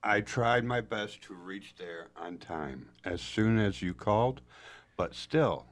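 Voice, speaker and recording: Man playing low, slow, apologetic romantic rescuer. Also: expressive. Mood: earnest, guilty. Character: apologetic, soft-hearted young male rescuer in a romance story.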